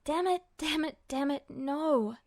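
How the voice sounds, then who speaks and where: A woman's voice: sits high, slow, no echo. A woman narrating a story as a scripted audiobook-style reading. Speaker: podfic narrator.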